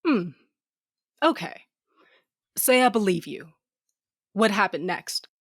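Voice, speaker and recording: A woman's voice: medium pitch, lively intonation, takes it slowly, dry recording, studio quality. A woman playing a blunt, sardonic female starship captain who narrates her own science fiction audio drama in slangy language. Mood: sarcastic, challenging. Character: tough, sardonic, foul-mouthed female ship captain with a cybernetic eye. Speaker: narrator and lead character. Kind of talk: first-person audio drama narration and dialogue.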